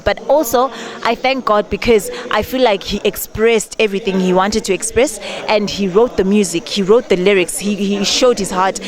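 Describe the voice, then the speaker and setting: A Zimbabwean woman gospel singer being interviewed outdoors, speaking earnestly and laughing often. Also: mid-range voice. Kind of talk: on-the-street interview. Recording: crowd noise behind.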